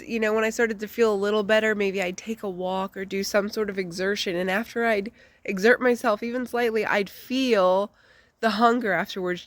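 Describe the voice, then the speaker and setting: A woman talks straight to her viewers in an unpolished, candid way, with plenty of ums and likes. Her voice is stuffy and congested, still recovering from the flu, at an ordinary middle pitch. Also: no room sound. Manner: chatty, candid, with frequent fillers. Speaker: vlogger. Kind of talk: casual personal life update.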